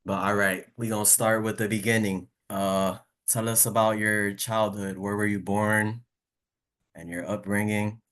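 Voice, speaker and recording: Casting: relaxed male interviewer, lowish voice, slangy easygoing questions. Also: measured pace. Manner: relaxed, slangy, short affirmations. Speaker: interview host. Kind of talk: casual interview.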